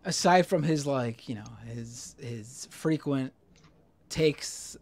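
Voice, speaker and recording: Casual male guest with a medium-pitched voice. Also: slow, a little mellow. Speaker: podcast guest, political commentator. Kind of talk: casual chat.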